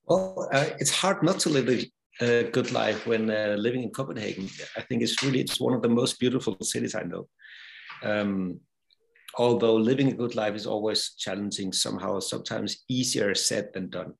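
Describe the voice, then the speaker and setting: Gravelly-voiced German-born man. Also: lowish voice, moderate pace, poor audio. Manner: thoughtful, hesitant with fillers. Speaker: guest. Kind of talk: self-introduction in a talk.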